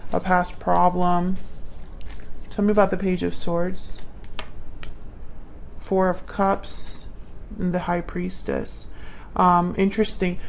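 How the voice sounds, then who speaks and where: Woman reading tarot cards casually, lots of vocal fry, kinda thinking out loud, bit of noise behind her. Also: medium pitch. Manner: tentative, thinking aloud, addressing the listener directly. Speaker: tarot reader, channel host.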